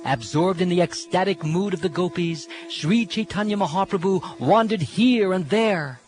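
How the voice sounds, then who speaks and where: Male devotional narrator, high-pitched, dramatic and reverent delivery, music underneath. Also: moderate pace. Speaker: reader of scripture.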